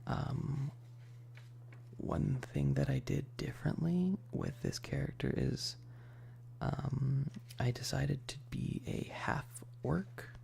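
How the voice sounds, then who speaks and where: A man with a heavily creaky, fry-laden voice talks slowly in a casual ASMR stream style. His delivery is hesitant and rambling, often sinking into a near whisper. Faint hum sits behind the voice. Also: medium pitch, fairly even intonation, warm tone. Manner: hesitant, rambling, frequent pauses; often drops into breathy, whispery speech. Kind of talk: soft casual ASMR livestream chat.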